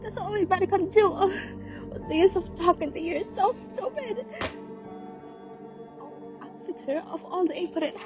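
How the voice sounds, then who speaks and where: A woman's voice: very high voice, moderate pace, pauses often, highs cut off. A young woman playing a nervous, demanding invalid woman with plaintive, imperious outbursts in an amateur radio play. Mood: indignant. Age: young adult. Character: frantic, demanding invalid woman alone in bed. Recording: dramatic music laid under the voice.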